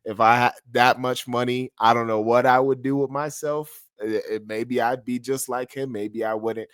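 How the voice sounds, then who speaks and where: A man's voice: mid-range voice, steady tone, moderate pace, a bit bright, no echo, studio quality. A man with a congested nose giving casual, slangy reaction commentary as host of a video.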